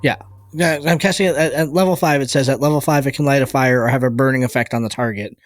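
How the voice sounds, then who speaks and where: A man's voice: medium pitch, steady tone, quick pace. A man talking casually as a player in a tabletop game, working through his moves and rules.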